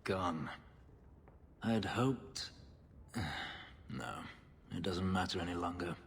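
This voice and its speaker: A man playing a bitter, guarded escaped elf slave in a video game, speaking formally and gravely in a weighty voice. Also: deep voice, slight background noise, heavily acted. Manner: formal, grave, measured speech. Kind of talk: dramatic video game acting. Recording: music playing behind the voice.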